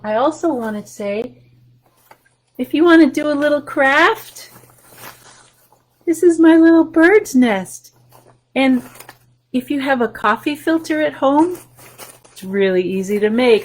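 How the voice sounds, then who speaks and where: A woman's voice: high voice, measured pace, pauses often. A woman hosting a story time for young children, reading aloud and chatting casually in simple, encouraging language.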